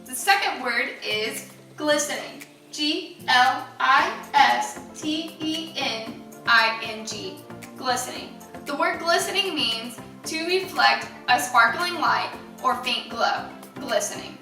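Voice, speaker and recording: A woman reading a children's story aloud in an expressive storytelling style, with deliberate, emphatic wording. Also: sits high, echoey room, distant mic, soft background music.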